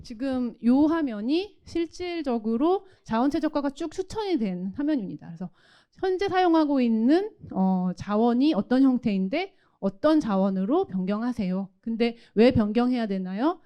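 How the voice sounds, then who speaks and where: A woman's voice: on the high side, animated, normal speed, highs cut off. A woman giving a conversational, explanatory tech product presentation and demo. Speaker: tech product presenter from a company's automation division.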